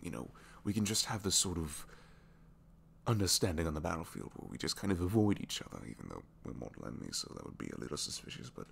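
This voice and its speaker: A man's voice: sits low, vocal fry, a little hiss or hum, exaggerated voice. A man acting out a bashful, flirtatious supervillain with a good heart in an intimate ASMR roleplay, speaking hesitantly with sheepish stammers. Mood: earnest, shy.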